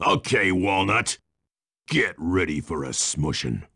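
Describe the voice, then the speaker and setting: A man playing a burly, boastful bison lumber baron villain in a video game, with a folksy, menacing rural American frontier drawl and a gravelly voice. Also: low-pitched, animated, slow, bright, forceful tone, exaggerated voice. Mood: gloating, scornful.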